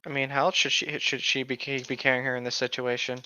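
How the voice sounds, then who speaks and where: A man's voice: mid-range voice, little pitch movement, fairly quick, pushed and bright, smooth, no echo. A man reading fan fiction aloud and chatting casually with friends, on a slightly dull microphone.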